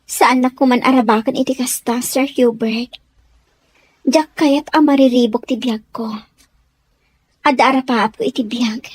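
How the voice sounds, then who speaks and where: A woman's voice: sits high, fairly even intonation, a little slow, long pauses, darkish tone, husky, no room sound. A woman playing a humble, earnest young house servant in an Ilocano radio drama, with an Ilocano accent. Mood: hesitant, pleading. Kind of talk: Filipino radio drama acting.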